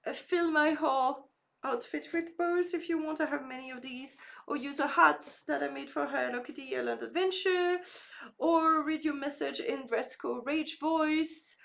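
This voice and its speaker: A woman's voice: high-pitched, vocal fry, fairly bright tone. A woman streaming a game live, chatting casually with viewers and reading their messages aloud, with frequent fillers and restarts; English is not her first language. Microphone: desk microphone.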